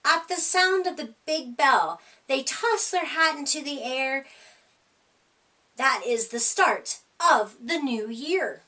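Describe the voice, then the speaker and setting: A woman reading a children's storybook as an eager, excitable little female mouse with news to share. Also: sits high, slow, full character voice.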